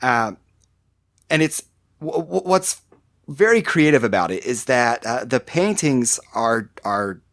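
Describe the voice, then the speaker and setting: A man's voice: medium pitch, lively intonation, slow, a bit bright, no room sound, studio quality. A man, a podcast guest, describing a theme park ride in a rambling casual way with many fillers and restarts.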